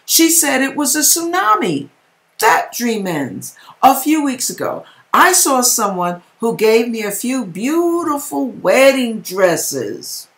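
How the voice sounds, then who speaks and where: A woman's voice: a little high, sing-song, fairly bright tone. A middle-aged American woman giving a theatrical, emphatic dramatic reading with casual asides, recorded on a webcam in a home kitchen. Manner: theatrical, emphatic, drawn-out delivery. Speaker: host reading viewers' dream accounts. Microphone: webcam at desk distance.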